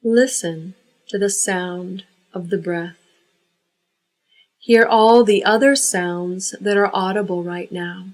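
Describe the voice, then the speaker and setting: A woman leading a guided yoga nidra relaxation, giving unhurried, measured instructions. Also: medium pitch, fairly even intonation, a little mellow.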